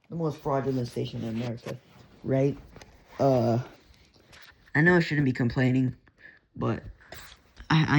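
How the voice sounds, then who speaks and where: A man's voice: medium pitch, gravelly. A young man with a Texan accent rambling casually about radio hobby gear, swearing, full of fillers and restarts. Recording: lo-fi with background hiss.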